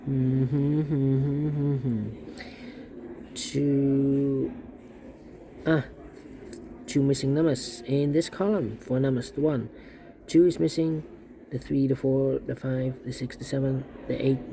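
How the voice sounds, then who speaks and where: A man's voice: medium pitch, vocal fry. A man giving a step-by-step puzzle tutorial, thinking aloud and often repeating short phrases as he mutters to himself. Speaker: puzzle instructor.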